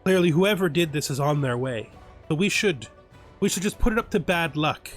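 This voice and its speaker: A man voicing a sly young bandit boss who feigns innocence, measured and dry, in game roleplay storytelling. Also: on the high side, expressive, soft background music, close to an everyday voice. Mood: feigned concern.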